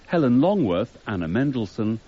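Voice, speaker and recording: A man reading drama credits as a radio announcer, in a formal, measured way. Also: mid-range voice, very animated, slightly rough. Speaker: continuity announcer. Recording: slightly muffled radio broadcast.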